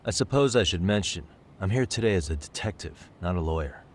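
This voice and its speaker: An actor playing a composed, persistent private detective, calmly and politely probing in a video game cutscene. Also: male voice, deep voice, slight background noise. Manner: calm, measured, politely probing questioning. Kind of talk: English-dubbed video game cutscene acting.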